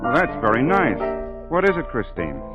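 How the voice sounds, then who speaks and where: A man acting a proud, suave opera singer in a 1940s radio drama, smooth, formal and theatrical, with dry sarcasm. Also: mid-range voice, wildly swooping pitch, moderate pace, muffled recording. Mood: tender. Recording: 1943 radio broadcast with music.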